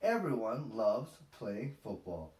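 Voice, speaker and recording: Very slow, animated male storybook reader. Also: lowish voice. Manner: animated, deliberate delivery. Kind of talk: expressive children's read-aloud narration. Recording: echoey, roomy sound.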